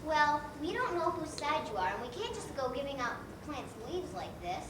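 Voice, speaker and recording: A distant, echoey stage carries a woman acting a suspicious guardian creature. The high voice is stern and formal, accusing in a children's play manner. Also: sing-song, normal speed. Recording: echoey stage from afar, noisy background.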